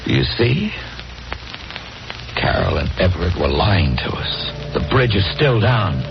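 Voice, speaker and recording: A man's voice: low voice, big pitch swings, unhurried, gravelly, exaggerated voice. An actor playing an urgent, hard-headed, impatient man giving orders in a 1940s radio horror drama. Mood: brisk. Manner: insistent, commanding, exasperated. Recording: muffled vintage radio recording with music.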